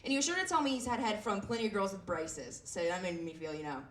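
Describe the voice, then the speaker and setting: A woman in her twenties with a raspy voice, performing a self-deprecating stand-up set with lots of fillers and halting restarts. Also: mid-range voice, fairly bright tone. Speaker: stand-up comedian. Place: comedy club stage. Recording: echoey room heard from a distance.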